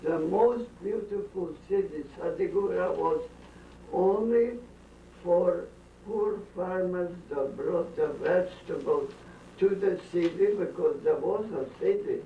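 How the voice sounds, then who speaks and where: Slow, halting elderly woman. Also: fairly low pitch. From Bukovina, then Austria. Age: older. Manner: slow, halting, drifting off topic with strong opinions. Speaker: interviewee. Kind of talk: rambling family storytelling. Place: family home during a dinner gathering. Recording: roomy, distant, dull lo-fi home tape with faint hiss.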